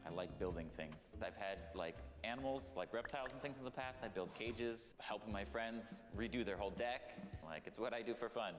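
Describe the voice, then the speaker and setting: A man around thirty with a low voice talks quickly and casually. The space echoes, and music is clearly heard behind him. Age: 30s. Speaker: utility worker taking part in a group discussion. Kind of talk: casual conversation. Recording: echoey reverberant room.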